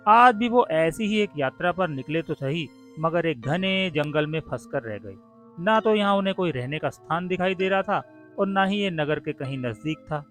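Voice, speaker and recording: A man's voice: on the high side, expressive, moderate pace. A man narrating a scripted cartoon story for children. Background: soft music.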